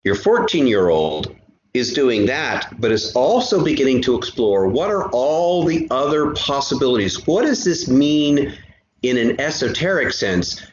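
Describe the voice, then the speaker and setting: A man speaking as a podcast guest in an animated casual discussion, emphatic and often halting to repeat a phrase. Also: mid-range voice. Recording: roomy, dull sound with faint noise.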